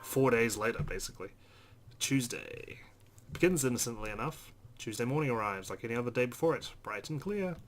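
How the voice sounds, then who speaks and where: A man's voice: medium pitch, a bit bright. A man hosting a let's play, reading visual novel narration aloud expressively with casual asides. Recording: faint background noise.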